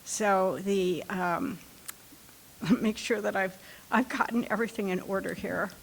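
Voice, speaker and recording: A woman's voice: medium pitch, gravelly, slight background noise, rough recording. A woman poet at a library reading who introduces and reads her own poems in a light voice. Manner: light voice, unhurried and deliberate. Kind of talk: poetry reading. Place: library event room.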